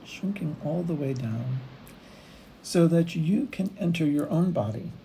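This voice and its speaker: A woman leading a guided meditation, speaking in unhurried phrases with long pauses between them. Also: low voice, expressive, gravelly, dark tone, a little hiss or hum. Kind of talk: guided meditation, gentle imagery narration.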